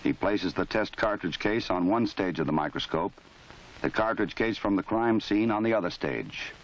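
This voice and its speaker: A low-voiced American man narrates in a formal, measured style. He sounds authoritative and matter-of-fact, reading a script for an instructional film. The sound is dull and lo-fi, like a 1970s film soundtrack. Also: lively intonation.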